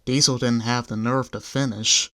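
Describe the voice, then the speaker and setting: A man's voice: mid-range voice, fairly even intonation, moderate pace, sharp tone. A man narrating a scripted children's story.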